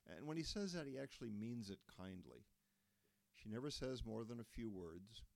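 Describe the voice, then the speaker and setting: A man reading as an earnest young man from Texas, patient and explaining. He has a low, steady voice. It is recorded dry and close. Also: moderate pace, vocal fry, no room sound.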